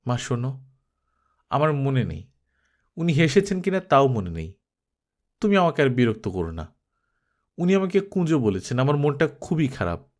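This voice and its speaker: A man's voice: medium pitch, sing-song, normal speed, pauses often, a little mellow, close to an everyday voice. A sardonic young daughter from a storybook, read by a man. The delivery is guarded and curt, with a teasing way of brushing things off. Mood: irritated, sardonic. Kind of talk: Bengali audiobook character acting.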